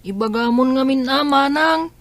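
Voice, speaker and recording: A woman's voice: high voice, moderate pace, lightly acted. A Filipino woman playing an unhappy, reluctant young son in a radio drama. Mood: reluctant, upset.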